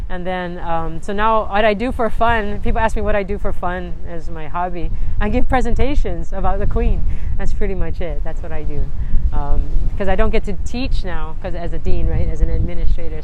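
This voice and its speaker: Middle-aged woman, a dean being interviewed; lowish voice, keen and chatty, keeps asking "right?". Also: noisy background. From Hawaii. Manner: eager storyteller, keeps checking in with "right?". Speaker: college dean and history researcher. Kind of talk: casual interview chat.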